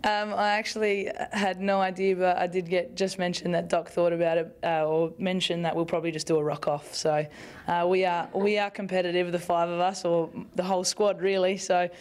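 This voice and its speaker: A young Australian woman speaks into a cluster of press microphones in a club media room. Her voice sits low and stays fairly flat. She answers in a relaxed, rambling way, full of fillers and restarts. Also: a little fast. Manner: chatty, with many fillers and restarts, laughs easily. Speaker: football player at a press conference. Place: club media room in front of a sponsor backdrop. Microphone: bunch of press microphones on the table.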